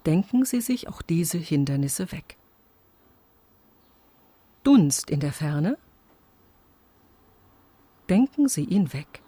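A performer in a radio play as a calm instructor guiding listeners through an imagination exercise, measured and deliberate. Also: mid-range voice, slightly rough, no echo, exaggerated voice. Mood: calm, instructing. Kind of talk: slow, scripted instructional radio-play narration.

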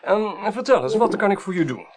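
Chatty male official for radio drama: higher voice, very animated, wry and hesitant. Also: brisk. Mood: affable, wry. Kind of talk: 1980s Dutch radio drama acting.

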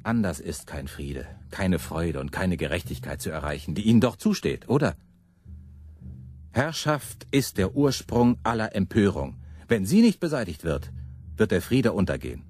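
An actor in a German radio play playing a fiery, embittered radical preacher, with a husky, declamatory and biting delivery. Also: male voice, lowish voice, very animated, moderate pace, darkish tone. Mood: fervent preaching.

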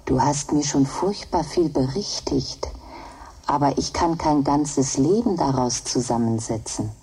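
An actress playing a placid, devoted female computer in a German radio drama, speaking in a measured, deliberate way. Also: low voice, warm tone, husky, recorded from a distance, exaggerated voice, rough recording. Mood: calm, probing.

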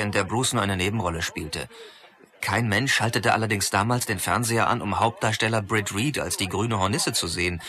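An actor playing a knowledgeable film expert who gives emphatic, informative scripted commentary in a radio play. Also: male voice, on the low side, bright, forceful tone. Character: knowledgeable film expert explaining pop culture history.

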